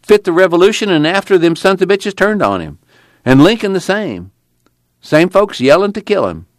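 A man putting on an excited preacher drawl. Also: high-pitched, moderate pace, no room sound, voice pushed up. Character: earnest fiery male preacher, middle-aged.

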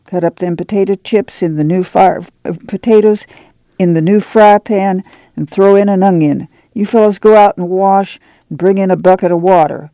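A woman's voice: medium pitch, normal speed, dark tone, no room sound, natural voice. A woman voicing a firm, practical rural mother with a bossy, folksy way of speaking in an audiobook. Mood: brisk, bossy.

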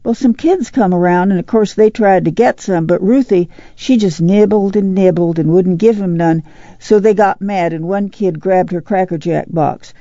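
A woman's voice: mid-range voice, normal speed, dark tone, dry recording, lo-fi sound, natural voice. A woman voicing an eager, anxious little farm boy in a storybook, rambling breathlessly. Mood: hesitant. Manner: breathless, rambling rural dialect.

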